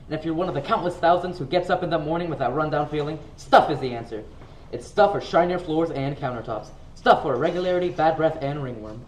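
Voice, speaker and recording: A man's voice: on the high side, quick pace, natural voice. A teenage boy playing an old-time radio announcer in a scripted amateur radio play. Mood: hearty, selling. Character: old-time radio announcer, hearty pitchman and mystery narrator. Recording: roomy, distant, clear background noise.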